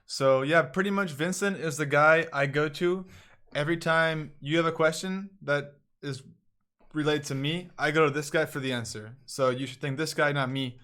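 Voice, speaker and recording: Relaxed male stream host, slightly high voice. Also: moderate pace. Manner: relaxed, hesitant with many fillers. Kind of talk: casual livestream chat.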